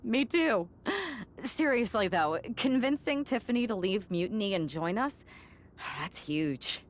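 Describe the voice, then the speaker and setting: A chatty, admiring wrestler in a video game, played by a woman. Her voice is medium in pitch and rises and falls with lots of life. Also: lively intonation, unhurried, lightly acted. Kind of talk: acted video game cutscene dialogue.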